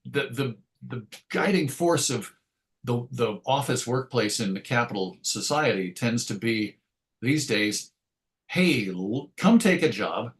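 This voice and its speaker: A man's voice: medium pitch, expressive, a little slow, fairly bright tone. Chatty middle-aged man reminiscing. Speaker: interview guest, comics writer and editor. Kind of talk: casual interview, reminiscing anecdotes.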